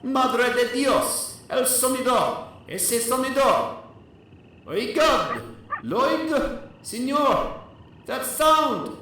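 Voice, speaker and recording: High, echoing, frightened miner. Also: male voice, very slow, exaggerated voice. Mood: alarmed, excited. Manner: excited, tense, exclaiming in short bursts. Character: frightened, awestruck Mexican miner, excited and tense. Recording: echoing, distant voice with background noise.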